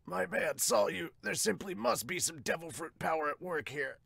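A man's voice: fairly high pitch, moderate pace, creaky, a bit bright, husky, no echo, exaggerated voice. A man voicing a bullying manga gang boss who interrogates captives. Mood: menacing.

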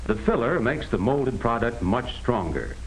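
An American man reading scripted 1940s educational film narration in a measured, explanatory manner on a vintage soundtrack. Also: mid-range voice, expressive, slight background noise, poor audio. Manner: measured, explanatory, addressing the listener directly.

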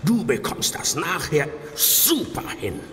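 An actor playing a kindly parish priest in a horror radio play. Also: male voice, fairly high pitch, sing-song, takes it slowly, a bit bright, big-room sound, a little hiss or hum, exaggerated voice. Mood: kindly, upbeat. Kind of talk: horror audio drama acting.

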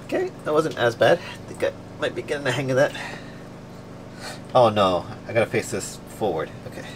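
A man's voice: mid-range voice, animated, brisk, noisy background. Chatty man explaining on a sailboat. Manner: explaining step by step, chatty with fillers. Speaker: sailing vlog host. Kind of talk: casual vlog narration. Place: aboard a sailboat, cabin and deck.

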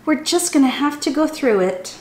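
A young woman, a children's storytime teacher, reading a picture book aloud in an animated, sing-song way, speaking straight to young listeners. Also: high voice, moderate pace. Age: young adult. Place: small room indoors. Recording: roomy indoor echo.

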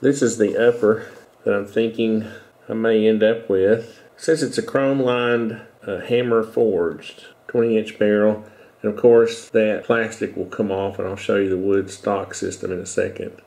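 Middle-aged man, stuffy and nasal from congestion, low mellow voice; rambling, self-correcting home-video host on a headset mic. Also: steady tone, measured pace. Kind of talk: casual show-and-tell talk.

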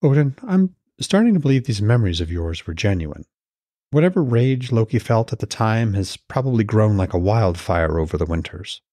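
Shrewd goddess wife for a fantasy audiobook, gentle and formal, low-pitched, read by a male narrator. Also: very animated, moderate pace, no echo. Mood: probing.

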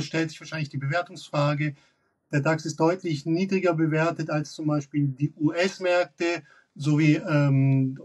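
A man in his thirties giving analytical market commentary as a guest expert, pausing often with fillers and restarts. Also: a little high, far from the mic. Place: office with glass wall.

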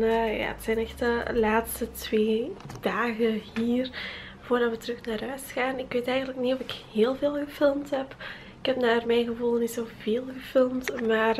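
A woman's voice: a little high, darkish tone, a little hiss or hum. A woman in her twenties with a Flemish accent, chatting casually to a handheld camera as a travel vlogger in a small hotel room. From Belgium. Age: 20s. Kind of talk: casual vlog chat to camera. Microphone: handheld camera at arm's length.

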